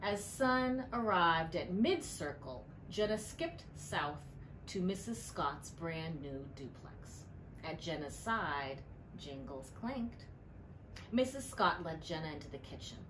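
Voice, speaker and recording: A middle-aged woman reads a children's story aloud with very lively intonation. Her pace is slow and deliberate, with emphasis on the storytelling. Clear background noise of the room runs behind her voice. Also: low-pitched. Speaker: children's librarian reading a picture book. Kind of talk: expressive storybook read-aloud. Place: indoor library room. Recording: clearly noisy room ambience.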